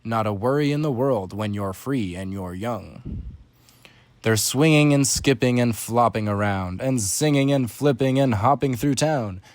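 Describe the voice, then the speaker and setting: A man's voice: medium pitch, measured pace, no room sound. A man narrating a rhyming children's story as a scripted read-aloud.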